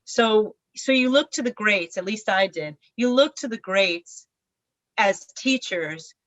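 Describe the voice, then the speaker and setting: A woman's voice: medium pitch, expressive, slow, fairly bright tone, no room sound. A woman talks through her ideas in a loose, reflective way, circling back with fillers and restarts. The sound is dry and close, with no room around it. The audio is dull and lo-fi, like a remote call. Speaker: band singer, interview guest. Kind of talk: casual interview chat.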